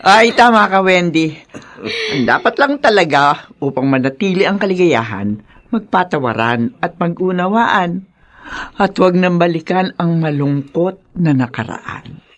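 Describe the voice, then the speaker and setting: An actress playing a doting, excitable older mother in a Tagalog radio drama, exclaiming with emphasis. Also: fairly low pitch, measured pace. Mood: chatty, content.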